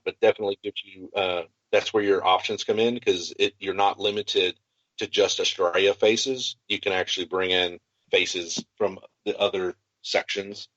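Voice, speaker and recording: A man's voice: deep voice, little pitch movement, normal speed, a bit bright, no echo, muffled recording. A man co-hosting a podcast, explaining tabletop gaming options enthusiastically in casual chat. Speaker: podcast co-host.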